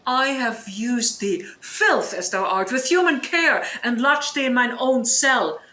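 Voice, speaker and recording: Woman as stern sorceress, imperious, slow declamation, bright higher voice, Shakespearean audio drama. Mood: scornful. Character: commanding, stern sorceress and protective mother.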